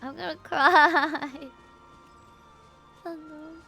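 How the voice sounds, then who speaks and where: A woman's voice: sits high, very slow, creaky, faint music behind. A woman, reacting casually and expressively as she livestreams a game. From Philippines. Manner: expressive, exclamatory.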